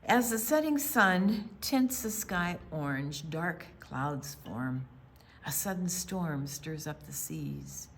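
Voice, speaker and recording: An American woman reading a picture book aloud for young children, with dramatic pauses, hushed asides and emphatic delivery. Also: low voice, sing-song, slight background noise. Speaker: children's storytime reader at a library.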